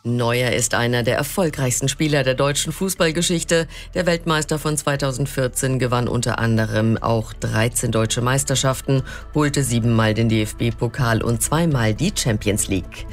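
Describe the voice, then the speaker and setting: A man presents radio news in standard German, reading from a script. His voice sits at a medium pitch. Faint music runs behind him. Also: moderate pace, hardly any pauses.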